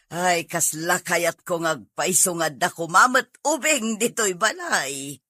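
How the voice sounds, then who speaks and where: Woman playing a low, husky older Ilocano mother. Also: lively intonation, takes it slowly, bright, forceful tone, no room sound, clean studio sound, full character voice. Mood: concerned, probing. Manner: probing, fretful. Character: concerned older mother yearning for a grandchild. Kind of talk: audio drama acting.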